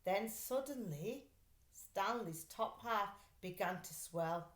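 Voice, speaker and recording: Slow, lively woman reading a children's story. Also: mid-range voice. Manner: animated, deliberate storytelling delivery. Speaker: teacher reading a storybook aloud. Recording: roomy, echoey sound.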